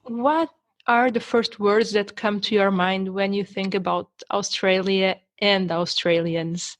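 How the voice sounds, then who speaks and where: A woman's voice: mid-range voice, steady tone, slow. A woman hosting a podcast, asking her guests questions in a conversational way. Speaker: podcast host. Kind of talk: interviewing guests.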